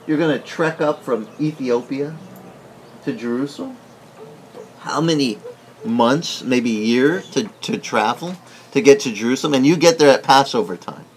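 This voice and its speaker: Animated pastor, Italian native speaker. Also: male voice, on the high side, a little hiss or hum, poor audio. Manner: animated, gesturing broadly, asks the room questions. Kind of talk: teaching sermon. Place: wood-paneled indoor room.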